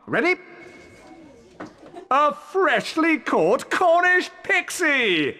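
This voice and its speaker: An actor playing a vain, showy British wizard professor in a video game, pompous and self-satisfied. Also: male voice, extremely high pitch, very animated, drawn-out words, bright, forceful tone, big-room sound, full character voice. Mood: pompous, reassuring. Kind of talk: video game character acting.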